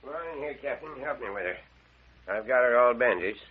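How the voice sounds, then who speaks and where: A man playing a brisk, helpful doctor in a 1940s-style radio mystery. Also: medium pitch, lively intonation, natural voice. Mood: urgent. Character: helpful male doctor, brisk yet soothing. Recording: muffled, distant vintage radio drama recording.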